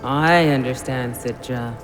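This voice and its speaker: A man's voice: medium pitch, unhurried, close to an everyday voice. Man acting a beckoning spirit in long reverb. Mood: solemn, luring. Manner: solemn, coaxing. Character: gently beckoning, otherworldly male spirit guide. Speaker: actor in an audio play. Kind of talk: naturalistic audio drama acting. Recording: long cavernous reverb over music. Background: music.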